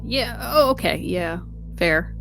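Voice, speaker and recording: A woman's voice: mid-range voice, expressive, takes it slowly, creaky, fairly bright tone, a little hiss or hum. A woman chatting casually as a player in a tabletop game.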